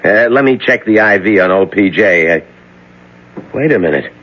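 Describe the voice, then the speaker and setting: A hesitant young doctor, with a husky voice and animated pitch. The sound is dull and lo-fi, like an old radio broadcast. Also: male voice, normal speed. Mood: dismayed. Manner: hesitant, apologetic, full of "uh"s. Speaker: junior hospital doctor. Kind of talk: 1970s radio drama acting. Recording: dull lo-fi vintage radio recording.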